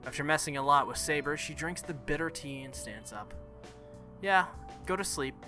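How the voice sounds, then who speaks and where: A man's voice: a little high, lively intonation, normal speed, pushed and bright, faint music behind. A man hosting a game playthrough, reading a visual novel aloud expressively and adding jokey asides. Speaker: gaming video host.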